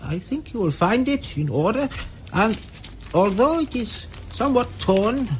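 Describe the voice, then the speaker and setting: Old guy, formal n insistent, high swooping voice, radio drama w/ faint noise. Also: big pitch swings. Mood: formal, firm. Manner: formal, deliberate.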